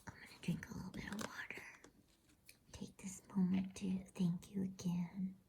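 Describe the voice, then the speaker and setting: A woman reading softly in a breathy whisper, in a soothing, unhurried ASMR bedtime story style. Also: medium pitch, level tone. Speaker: host and storybook reader.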